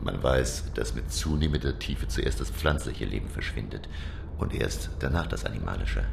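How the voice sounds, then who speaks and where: An actor playing a thoughtful professor who narrates his sea voyage in a radio drama, precise and grave, with a gravelly voice. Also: extremely low pitch, lively intonation, background noise, exaggerated voice. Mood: learned, matter-of-fact. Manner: precise, grave, deliberate storytelling.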